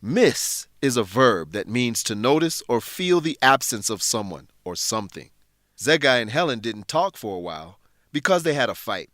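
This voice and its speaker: A man narrating a scripted English lesson in a deliberate, instructive way. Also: medium pitch, very animated, fairly bright tone, no echo, studio quality.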